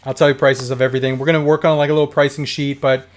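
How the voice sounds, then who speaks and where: A man talking off the cuff on a home livestream, his voice hoarse and dry. His pitch sits in an ordinary middle range. He rambles cheerfully, laughs along as he goes, and reads viewer comments aloud. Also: level tone, very fast. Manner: chatty, rambling, laughs often. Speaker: livestream host. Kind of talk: casual live chat reading viewer comments.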